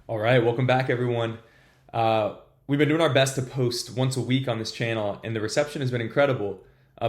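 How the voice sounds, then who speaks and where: A man hosting a tutorial, explaining system design at a whiteboard in an upbeat, casual way, his voice a little congested from a cold. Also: medium pitch. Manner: upbeat, casual, addresses viewers as "you guys". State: has a cold. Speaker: tutorial host.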